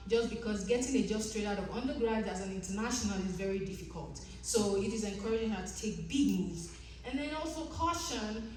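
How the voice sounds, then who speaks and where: Nigerian-accented woman, academic talk, earnest, mellow voice, distant mic, faint room noise. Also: on the high side, very echoey. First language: Yoruba. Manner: earnest, explanatory, with frequent ums and restarts. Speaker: conference presenter. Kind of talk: academic research presentation. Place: conference room.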